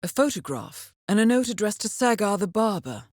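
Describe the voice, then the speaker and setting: An actress playing a poised, dryly amused intelligence handler who briefs an assassin in a video game, measured and formal. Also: female voice, mid-range voice, very animated, dry recording, studio quality. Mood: composed briefing. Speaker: mission handler.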